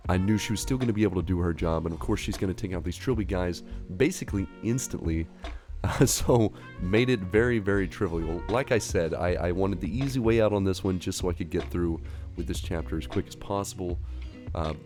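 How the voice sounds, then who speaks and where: A man giving casual, rambling gameplay commentary as a voice-over, his voice nasal and a little hoarse from a recent illness, with frequent fillers. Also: low voice, fairly quick, darkish tone. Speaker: gaming channel host. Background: guitar music.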